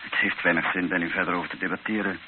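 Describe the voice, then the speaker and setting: Through a noisy, thin old radio recording comes an actor playing an earnest mission priest. His low, gravelly voice is measured and gently insistent. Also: steady tone, phone-quality sound, close to an everyday voice. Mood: earnest, patient. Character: patient, earnest male mission superior, a priest. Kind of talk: radio drama acting.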